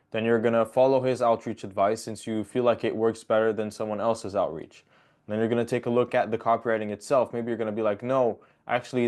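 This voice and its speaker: A young man hosting a casual podcast over a video call from his bedroom, talking in a loose, rambling way with quick jokes. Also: medium pitch, darkish tone. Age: young adult. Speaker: podcast host. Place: bedroom at home. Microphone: video call webcam.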